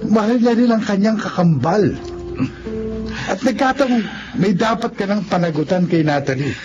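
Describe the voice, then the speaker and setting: High, lively, earnest male friend. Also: moderate pace. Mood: earnest concern. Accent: Filipino. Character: concerned, sensible male friend counseling a drunkard. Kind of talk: Filipino radio drama acting. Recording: muffled, music behind the voice.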